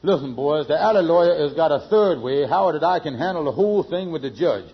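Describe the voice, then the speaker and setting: A man's voice: on the high side, expressive, a little fast, breathy edge. An actor playing a scheming, hapless, boastful man in a vintage radio sitcom, blustery and folksy in his speech. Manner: blustery, folksy nonstandard grammar. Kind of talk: 1940s-50s radio sitcom acting. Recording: muffled vintage radio recording.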